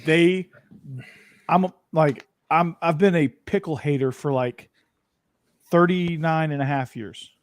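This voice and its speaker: A man's voice: fairly high pitch, fairly even intonation, a little slow, long pauses, no room sound. Rambly 40 yr old guy cohosting a podcast, keeps restarting himself, dead dry mic. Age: 40s. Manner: rambling, self-interrupting, chatty. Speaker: podcast co-host. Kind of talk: casual chat.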